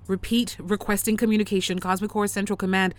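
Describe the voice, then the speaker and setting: A woman's voice: mid-range voice, level tone, fast talker, faint music behind, close to an everyday voice. A woman playing a worried, professional lunar engineer in an audio drama, formal but uneasy as she records messages and logs. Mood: urgent, controlled. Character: worried, professional lunar mining lead engineer, long isolated. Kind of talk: audio drama radio calls and log.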